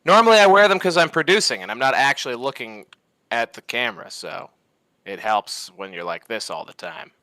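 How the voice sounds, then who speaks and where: A man's voice: medium pitch, lively intonation, brisk, pushed and bright, no room sound, rough recording. A man guesting on a casual hobby chat show, teasing with dry banter.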